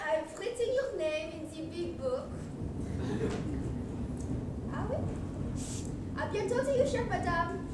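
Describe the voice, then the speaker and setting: A woman playing a snooty, excitable male French maitre d' in a student stage comedy, florid and fussy, with a mock French accent. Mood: effusive. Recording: echoey hall, distant mic, rumbling noise.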